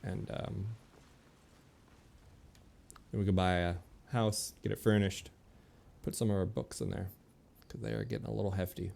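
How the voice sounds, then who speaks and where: Deep, gravelly man casually streaming a game. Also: little pitch movement, moderate pace, pauses often, darkish tone. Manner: rambling, thinking aloud. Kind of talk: casual gameplay commentary.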